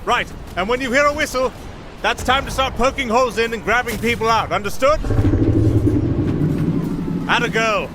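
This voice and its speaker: A man's voice: extremely high pitch, normal speed, long pauses, over loud music. A man playing a roguish, wry young musician adventurer in a fantasy audio roleplay, bantering with some hesitation. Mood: urgent calling.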